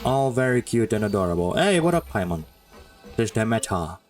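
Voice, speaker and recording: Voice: medium pitch, sing-song, normal speed, music in the background. A streamer playing a game and giving casual, informal, rambling commentary.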